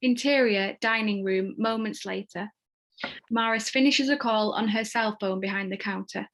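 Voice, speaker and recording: A woman reads screenplay stage directions as narrator in a measured, descriptive manner. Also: mid-range voice, a bit bright.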